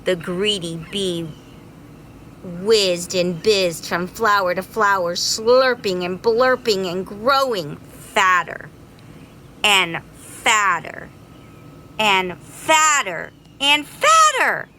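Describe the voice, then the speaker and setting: A woman reads a picture book aloud for young children. Her voice is bright and highly animated, and she takes her time, stretching words for drama. There is some noise in the background. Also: drawn-out words. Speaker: storyteller reading aloud. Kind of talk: expressive children's storybook narration.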